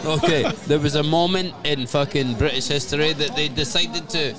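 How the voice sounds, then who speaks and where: A man telling a joke and bantering loudly, swearing freely, at an outdoor bar table. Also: fairly high pitch, normal speed. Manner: rowdy, swearing, storytelling. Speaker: podcast co-host. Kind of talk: loud casual podcast banter. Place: outdoor bar terrace at night. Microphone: table mic on stand. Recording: loud bar music and crowd. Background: loud music and crowd.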